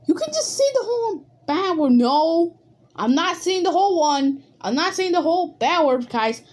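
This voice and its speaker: A woman improvising dialogue for squabbling cartoon alphabet letters in an amateur animated skit, with halting, repetitive phrasing. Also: extremely high pitch.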